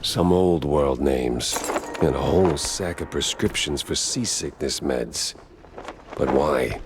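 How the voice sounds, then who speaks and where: A man's voice: extremely low pitch, slow, full character voice. An actor playing a world-weary male mouse private eye in a cartoon noir game, with dry, sardonic delivery. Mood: musing. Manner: dry, sardonic, hard-boiled. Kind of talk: film noir narration and dialogue.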